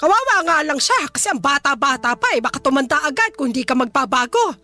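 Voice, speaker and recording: A woman's voice: high-pitched, very animated, quick pace, sharp tone, faint music behind, full character voice. An actress playing a scolding, unapologetic mother of a grown son in a Filipino radio drama, with a nagging, dismissive manner. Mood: reproachful, scolding.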